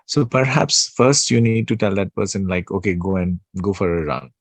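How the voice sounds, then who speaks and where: A man giving an unscripted spiritual talk, slow and soothing, pausing often with fillers and restarts. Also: low-pitched, no room sound. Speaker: spiritual teacher.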